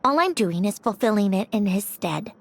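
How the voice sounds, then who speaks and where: A woman's voice: mid-range voice, animated, moderate pace, noisy background, lightly acted. A woman playing a self-assured, aloof young female schemer in a video game, articulate and haughty. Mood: wistful, resolute.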